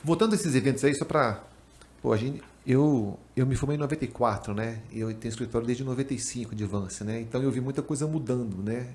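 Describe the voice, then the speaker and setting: A man in his fifties from Pernambuco, hosting a podcast and chatting in an animated, slangy way. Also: medium pitch, quick pace. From Pernambuco, Brazil. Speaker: podcast host, accountant. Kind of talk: casual chat. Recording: echoey room.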